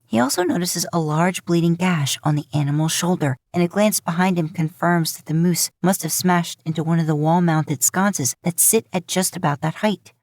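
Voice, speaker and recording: A woman's voice: fairly low pitch, normal speed, hardly any pauses, a little mellow, no echo, studio quality. A woman reading scripted fan fiction aloud as a story narrator. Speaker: podfic narrator.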